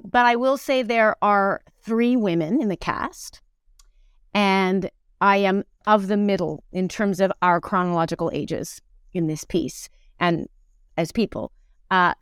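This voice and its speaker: A woman in her forties talks casually as an interview guest. Her voice is smooth and clean at a medium pitch, recorded dry, and she feels her way through thoughts in halting phrases. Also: no room sound. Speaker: actor and singer, podcast guest.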